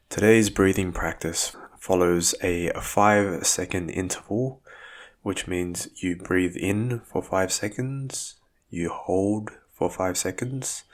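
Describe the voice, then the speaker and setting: A man guiding a breathing meditation as a physiotherapist, speaking in measured, soothing phrases with calm instruction. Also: sits low.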